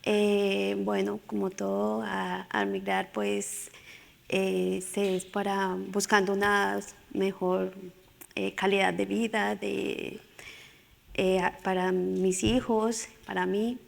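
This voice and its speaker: A woman from Colombia, a panel guest, speaking in a nervous, halting way about her own experience. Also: mid-range voice, flat delivery, vocal fry. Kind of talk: personal testimony at a panel. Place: conference hall. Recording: reverberant hall.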